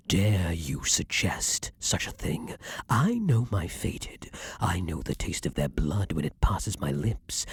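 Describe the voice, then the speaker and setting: A man playing an imperious, lovesick vampire king in an intimate ASMR fantasy roleplay, speaking formally and theatrically with breathy, dramatic pauses. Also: low-pitched, no echo, heavily acted. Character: ardent, imperious male vampire king, lovesick then menacing.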